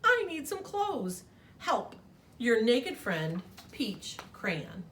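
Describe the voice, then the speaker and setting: A woman's voice: wildly swooping pitch, extremely slow, a bit bright, far from the mic, slight background noise, full character voice. A woman voicing an embarrassed, panicky peach crayon from a storybook, flustered and pleading. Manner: flustered, high-pitched pleading.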